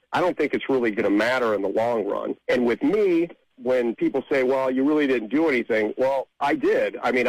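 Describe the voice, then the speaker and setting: A man's voice: medium pitch, no room sound, poor audio. A man speaking conversationally as a guest in a radio interview, explaining things and repeating points for emphasis. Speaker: interview guest, amateur songwriter.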